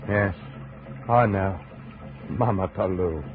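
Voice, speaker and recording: An actor playing a grim, excitable man guiding in the jungle, with urgent, dramatic 1950s radio delivery. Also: low-pitched, slow, phone-quality sound, over music. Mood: tense. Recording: thin, noisy old radio recording.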